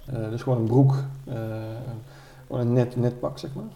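Male expert interviewee, very soft-edged dark tone, informal and halting, reverberant room. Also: mid-range voice, steady tone, creaky. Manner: hesitant, piecing thoughts together. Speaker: expert interviewee, military historian. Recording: echoey room, faint noise.

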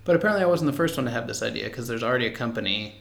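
A man's voice: mid-range voice, expressive, very fast, gravelly, a bit bright. A young man co-hosting a podcast in casual chat, laid-back and matter-of-fact with dry quips. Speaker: podcast co-host. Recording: roomy echo.

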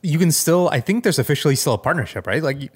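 A man's voice: on the high side, animated, quick pace, breathy edge. A man co-hosting a casual tech chat, talking in a hedging way and often checking in for agreement. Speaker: podcast co-host.

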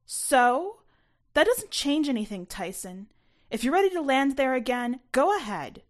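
A woman's voice: high-pitched, very animated, measured pace, pitched above the performer's own voice. Woman acting fierce, scornful mother, raised voice. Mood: scornful anger. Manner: sharp, scornful, forceful.

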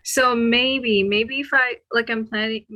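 A woman's voice: on the high side, steady tone, unhurried, sharp tone. A woman from Sri Lanka chatting casually as a podcast guest, informal and a little tentative, checking that she makes sense. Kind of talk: casual chat.

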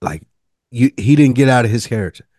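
A young adult man co-hosting a casual podcast, talking slowly and searching for his words. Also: medium pitch, expressive, warm tone, dry recording, clean studio sound. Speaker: podcast co-host. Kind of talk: casual chat.

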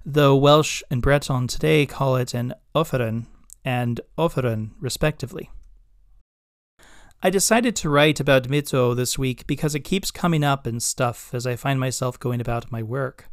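Man, podcast host, reads his essay aloud, measured and scholarly, careful diction, mid-range voice, dry close sound. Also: mid-range voice, smooth, dry recording. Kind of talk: reading a written blog essay aloud.

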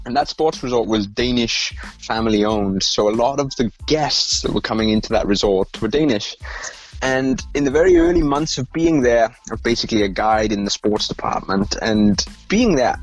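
A man in his thirties with a softened Welsh accent talks in a mid-pitched, easygoing voice. He rambles through his story with restarts and small laughs. Faint music plays underneath. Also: low-quality recording. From Wales, Rhondda Valleys. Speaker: podcast guest. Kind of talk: casual conversation.

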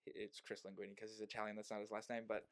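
A man's voice: fairly low pitch, completely flat delivery, fast talker. A young man hosting a podcast in casual chat, rambling and joking, often breaking off mid-sentence. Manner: rambling, self-interrupting, joking.

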